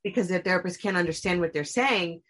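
A woman's voice: fairly low pitch, fast, fairly bright tone. A woman podcast host interviewing a guest in a casual way, praising her with eager slang and plenty of fillers.

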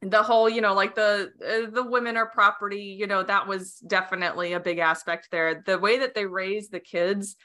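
A woman's voice: mid-range voice, steady tone, normal speed, few pauses, bright, forceful tone. A young adult woman whose first language was Romanian, a podcast guest talking casually, rambling with many fillers, restarts and frequent laughs. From United States. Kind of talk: casual interview chat.

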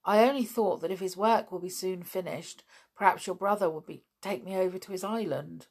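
A woman's voice: on the low side, brisk, a little mellow, husky. A woman voicing a polite, inquisitive, secretive boy in a storybook reading.

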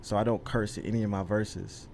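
A man's voice: lowish voice, flat delivery, brisk, vocal fry, a little mellow, slight background noise. A young man from California, a guest on a podcast, telling his story in an earnest, rambling way full of ums and you knows. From California, USA. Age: young adult. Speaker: rapper, guest. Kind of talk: casual interview chat.

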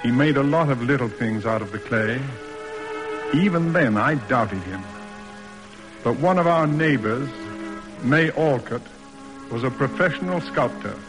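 A man's voice: medium pitch, lively intonation, natural voice. Actor as a formal, reverberant old-radio father. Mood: reflective narrating. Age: middle-aged. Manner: measured, formal, roundabout. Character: stiff, proper, reserved father in period New England. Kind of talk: classic American radio drama acting. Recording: old radio recording, reverberant, music behind.

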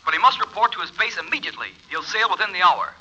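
An actor playing a brisk, businesslike caller through a telephone filter in a 1940s radio play. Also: male voice, high voice, pushed and bright, husky, highs cut off, heavily acted. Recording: thin filtered telephone sound, old radio.

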